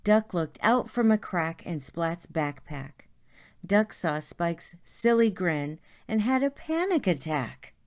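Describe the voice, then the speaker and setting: A woman reading a children's story aloud in a deliberate, expressive way. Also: on the low side. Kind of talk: read-aloud story narration.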